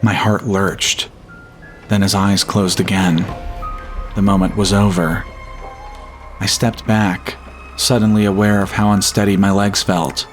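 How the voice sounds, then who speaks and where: Male horror podcast narrator, lower-pitched, measured and solemn, reading scripted first-person fiction over faint music. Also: level tone. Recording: music bed behind the voice. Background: atmospheric music.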